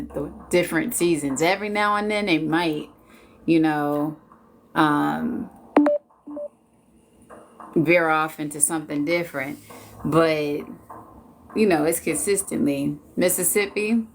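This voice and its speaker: A woman's voice: low-pitched, slow, pauses often, a little hiss or hum. A woman in her late forties from Washington D.C., chatting casually and jokingly with viewers on a live stream. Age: 40s. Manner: chatty, laid-back, joking.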